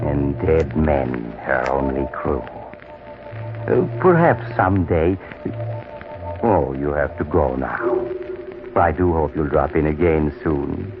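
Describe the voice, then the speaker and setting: Very deep, slow, sinister horror host. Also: male voice, phone-quality sound. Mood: eerily courteous. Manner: ominous, deliberate, theatrical. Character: sinister, courteous male doctor telling macabre tales. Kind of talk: old-time radio horror narration. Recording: thin vintage radio with music behind.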